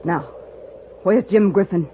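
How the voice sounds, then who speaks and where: A woman's voice: mid-range voice, measured pace, close to an everyday voice. A woman playing a vengeful, cold and commanding frontier woman in an old-time radio Western. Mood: bitter, demanding. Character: vengeful, steely frontier woman holding a gun. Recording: tinny, faintly noisy old radio recording.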